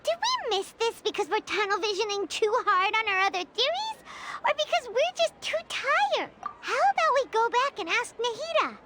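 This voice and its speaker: Tiny excitable fairy companion for a game: extremely high, childlike, squeaky, very animated. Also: female voice, normal speed, heavy background noise. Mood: puzzled, animated. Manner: chirpy, speaks of self in third person. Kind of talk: video game voice acting. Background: game music.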